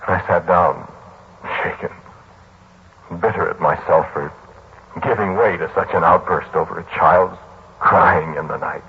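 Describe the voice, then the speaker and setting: An actor playing a troubled, kindly lodger in a 1940s radio drama, telling his story in a brooding, deliberate way. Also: deep voice, fairly even intonation, breathy edge, full character voice. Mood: shaken, bleak. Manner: brooding, deliberate storytelling that turns pleading in dialogue. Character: troubled, kindly male lodger narrating his haunted memories. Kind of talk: 1940s radio drama acting, first-person narration. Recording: muffled, noisy 1940s radio broadcast.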